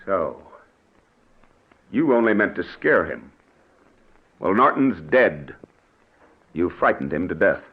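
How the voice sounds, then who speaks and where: A brisk, educated policeman in an old radio drama. He is matter-of-fact, with a widely moving pitch. The recording is dull, with faint hiss. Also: big pitch swings, full character voice. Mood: stern. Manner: matter-of-fact, well-spoken. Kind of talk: 1940s radio acting.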